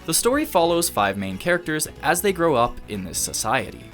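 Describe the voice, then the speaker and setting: A man's voice: a little high, sing-song, fairly quick, a bit bright, soft background music. A man delivering scripted video essay narration as the host of an anime review.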